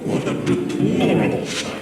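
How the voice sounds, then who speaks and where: A man's voice: normal speed, loud music behind the voice, natural voice. An actor playing a demonic father, a grandiose and blasphemous villain in a video game, speaking with pompous theatrical gloating.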